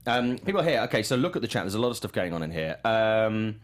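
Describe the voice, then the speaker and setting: Male film show host, fairly deep voice with big swings in intonation, chatty and informal. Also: very animated, fast talker. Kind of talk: casual panel chat.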